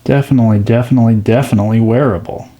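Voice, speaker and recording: A man's voice: mid-range voice, fairly even intonation, rapid-fire, dark tone. A man giving casual, informal hands-on commentary, thinking aloud as he works. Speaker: watch restoration video host.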